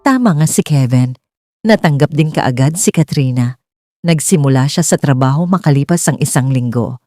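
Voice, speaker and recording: Woman narrating a romance, low and expressive. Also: normal speed, no echo. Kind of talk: scripted romance story narration.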